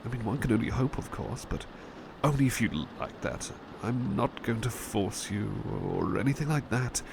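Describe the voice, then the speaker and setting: A man playing a devoted, gentle butler in a soothing ASMR roleplay, hesitant and polite, fussing and repeating himself reassuringly. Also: mid-range voice, normal speed, vocal fry, background noise, lightly acted. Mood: attentive, reassuring.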